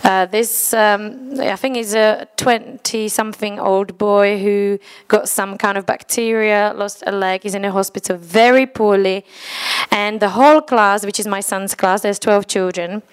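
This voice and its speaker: A woman's voice: medium pitch, fairly even intonation, unhurried, clean tone. A woman from the Czech Republic, speaking English as a second language with a Czech accent. She addresses a church congregation earnestly, in slightly broken English, and laughs at her own slips.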